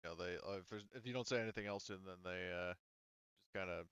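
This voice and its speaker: A man's voice: low-pitched, fast, dull audio, crowd noise. A man acting as game master, narrating a tabletop roleplaying game in a casual, conversational style.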